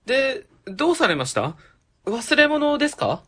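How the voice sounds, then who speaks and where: A man's voice: extremely high pitch, sing-song, normal speed, pushed and bright, breathy edge, exaggerated voice. An actor playing a polite, eager young male public office worker in a Japanese radio drama, courteous and helpful.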